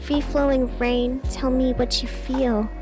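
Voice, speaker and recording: A woman's voice: high voice, level tone, slow pace, over music. A woman playing a wistful, self-doubting night radio host in a scripted audio drama, speaking in an earnest, confiding way. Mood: yearning, poetic.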